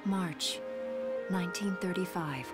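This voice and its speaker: A woman reading scripted dramatic narration for a video game in a formal, measured way. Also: on the low side. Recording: loud orchestral music behind.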